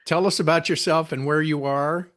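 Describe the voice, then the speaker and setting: A man hosting a podcast, reading a scripted intro and then interviewing his guest in a clear, measured way. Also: a little high, fairly even intonation, fairly bright tone, no echo, clean studio sound. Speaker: podcast host.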